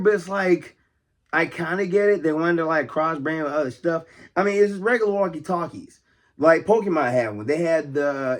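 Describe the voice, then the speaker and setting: A man hosting a casual collector's video, talking off the cuff in a rambling, enthusiastic way and exclaiming over details. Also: a little high. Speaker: host of a toy collecting video series. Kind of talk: casual off-the-cuff toy show-and-tell.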